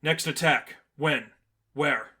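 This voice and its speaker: A man's voice: fairly high pitch, slow, bright, forceful tone, breathy edge, full character voice. A man voicing a blunt young female vigilante who talks in clipped phrases in a story reading. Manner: clipped, terse.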